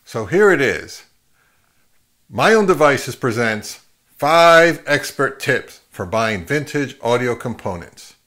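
A middle-aged man hosting an advice vlog, speaking to camera in an emphatic way with deliberate pauses. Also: medium pitch, big pitch swings, fairly bright tone. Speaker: host of an audio gear channel. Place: home listening room, later an open grassy field.

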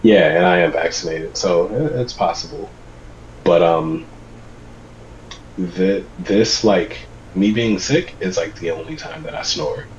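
Man in his 30s, low deep voice, congested, video call, muffled sound, reflective. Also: expressive, a little slow, a little hiss or hum. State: congested from a cold. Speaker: guest. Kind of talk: casual video call chat. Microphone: video call webcam.

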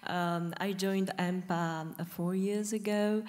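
A young woman from Romania introducing herself as a board candidate at a conference, halting with many pauses and fillers. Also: lowish voice, steady tone, a little mellow. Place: conference lecture hall.

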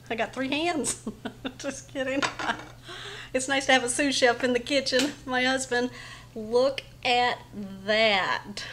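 A woman's voice: high-pitched, takes it slowly, pauses often, background noise. A middle-aged woman in her home kitchen, chatting viewers step by step through a casserole recipe. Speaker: home cooking channel host. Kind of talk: casual cooking demonstration.

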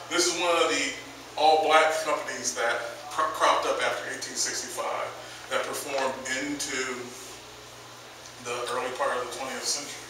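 A man's voice: medium pitch, fairly even intonation, background noise. Man lecturing in an echoey hall. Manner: emphatic, deliberate, stresses key words. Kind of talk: public talk on theater history. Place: large dim lecture room with projector screen. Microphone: far camera. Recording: echoey room from far off.

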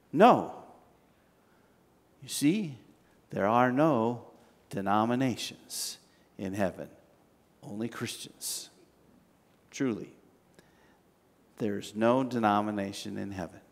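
Slow older pastor preaching in a reverberant church. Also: male voice, medium pitch. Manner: slow, measured preaching with long pauses. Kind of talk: sermon. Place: large church sanctuary with glass walls. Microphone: standing at a pulpit, far camera.

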